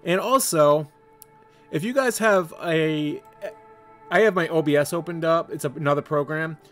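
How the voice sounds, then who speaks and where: Male tutorial host, lighter higher register, casual instruction over soft music. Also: unhurried. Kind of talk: casual step-by-step screen tutorial.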